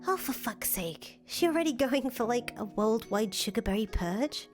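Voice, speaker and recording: Woman acting a higher-voiced, supportive young confidante. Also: animated, moderate pace, natural voice. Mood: surprised, dismayed. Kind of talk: voiced reading of visual novel dialogue. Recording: music playing under the voice.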